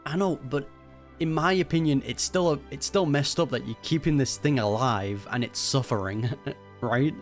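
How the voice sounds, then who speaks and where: Slangy male gamer with mid-pitched voice. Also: moderate pace. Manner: slangy, informal. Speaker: gaming YouTuber. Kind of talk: casual game reaction commentary. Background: faint game music.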